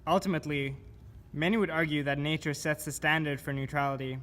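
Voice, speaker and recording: A man giving a scripted spoken-word reading of poetic survival instructions in a measured, deliberate manner. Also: a little high, steady tone, fairly bright tone. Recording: clear background noise.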